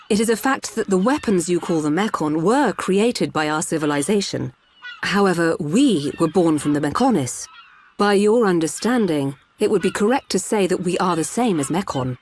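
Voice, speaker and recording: A calm female doctor of a mechanical people , played by an actress, speaks in a fairly low voice. Her manner is formal and exact, like a video game cutscene. Faint ambient noise sits behind her. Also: close to an everyday voice. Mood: calm, solemn. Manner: measured, formal, precise wording.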